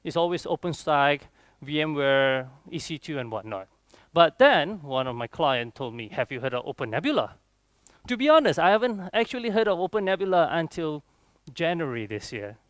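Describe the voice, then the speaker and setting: Young Malaysian man presenting, clear voice. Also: a little high, big pitch swings, moderate pace, a bit bright, no room sound. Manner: chatty, frequent rhetorical check-ins like "okay" and "right". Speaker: conference speaker, IT trainer. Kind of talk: informal technical presentation. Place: conference room, on stage before a seated audience.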